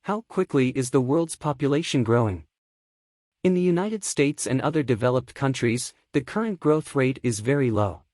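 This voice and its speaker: A man's voice: medium pitch, lively intonation, normal speed, a little mellow, dry recording. Man reading aloud in a dry recording. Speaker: reader of practice passages. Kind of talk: scripted read-aloud of exam practice text.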